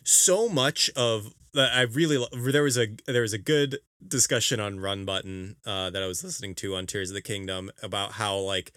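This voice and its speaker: A man co-hosting a casual video game podcast, talking emphatically with halting explanations and exasperated teasing. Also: fairly low pitch, a bit bright, no room sound, studio quality. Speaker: podcast co-host.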